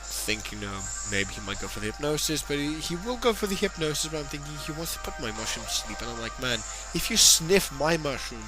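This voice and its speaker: A man's voice: on the high side, sing-song, brisk, few pauses, poor audio. A tipsy man giving casual, rambling play-by-play commentary on a game, joking and swearing as he goes. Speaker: gameplay commentator. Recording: voice over loud game music. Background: game music.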